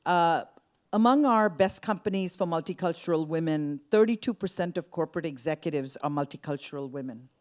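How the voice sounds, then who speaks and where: A woman's voice: low voice, fairly quick, a little mellow. A woman speaking as a panelist, earnest and emphatic, citing figures and urging unity. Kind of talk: panel discussion.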